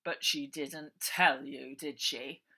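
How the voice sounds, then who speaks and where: A woman's voice: very deep voice, fairly even intonation, slow, pushed and bright, heavily acted. A woman voicing a sinister middle-aged uncle in a storybook, pompous, condescending and menacingly polite.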